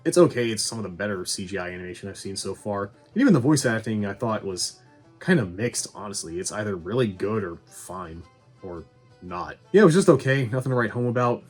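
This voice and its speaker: Husky, sarcastic man reviewing movies. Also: on the low side, expressive, brisk. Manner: sarcastic, sweary, dry humour. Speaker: video game movie reviewer. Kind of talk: casual scripted review commentary. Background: faint music.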